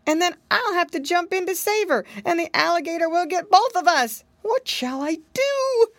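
A woman voicing a brave little boy pig, eager and excitable. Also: on the high side, moderate pace, no echo, pitched above the performer's own voice. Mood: alarmed. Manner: eager, excitable, bossy when alarmed.

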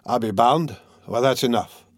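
A man reading an audiobook as a feverish, irritable young man, defiant and frantic, between quiet venom and pleading. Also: mid-range voice, normal speed, no room sound, clean studio sound, natural voice. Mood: feverish, taunting. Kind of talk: dramatised audiobook dialogue.

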